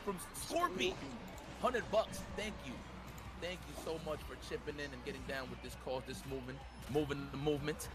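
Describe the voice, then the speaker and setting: A man with a New York accent, hosting a charity stream and excitedly co-commentating a game with slang and repeated words. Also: on the high side, moderate pace.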